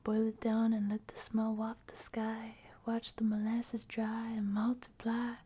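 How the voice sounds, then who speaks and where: A woman hosting an ASMR stream, chatting casually with viewers in a soft, often whispered voice. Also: on the high side, monotone, a little slow, husky. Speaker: ASMR livestream host.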